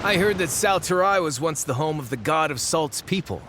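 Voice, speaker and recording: Brash, animated greedy treasure seeker. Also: male voice, fairly high pitch, normal speed, a little hiss or hum. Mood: eager, scheming. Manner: sarcastic, blustering. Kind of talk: video game voice acting.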